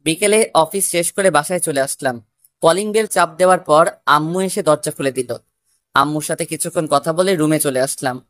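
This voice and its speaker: A woman's voice: low voice, normal speed, no room sound, clean studio sound. A woman narrating a scripted first-person love story.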